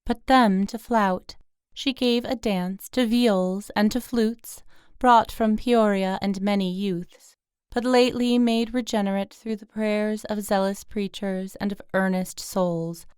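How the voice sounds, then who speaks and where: A woman giving a measured reading of narrative verse. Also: medium pitch, steady tone, darkish tone, smooth, no room sound, clean studio sound. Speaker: poetry reader in a group recording.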